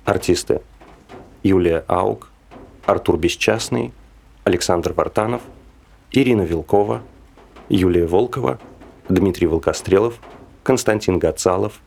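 Low, creaky-voiced man reading credits. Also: measured pace, long pauses, a little mellow. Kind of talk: scripted credits announcement.

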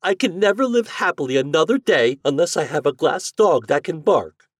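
A man's voice: lively intonation, normal speed, no echo, clean studio sound. Miss Midas, a spoiled rich young lady voiced by a man. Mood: imperious. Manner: demanding, high-pitched and loud. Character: spoiled rich young woman, imperious and selfish. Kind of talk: storybook reading.

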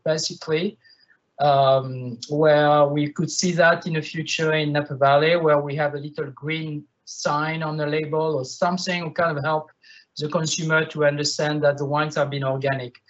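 A man, a winemaker speaking on a panel, talking hesitantly with many pauses and fillers. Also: fairly high pitch, a bit bright, dull audio. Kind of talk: panel discussion.

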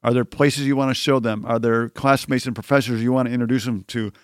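Encouraging male interviewer, mid-pitched. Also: fairly even intonation, fast talker, no echo, studio quality. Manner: encouraging, asks follow-up questions. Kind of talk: conversational interview.

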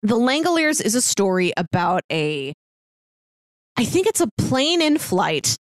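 A woman's voice: fairly high pitch, very animated, measured pace, no room sound, studio quality. A woman co-hosting a podcast, chatting casually as she gives recommendations and retells stories, acting out bits of imagined dialogue. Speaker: podcast co-host giving recommendations. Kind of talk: casual chat about films, books and audio dramas.

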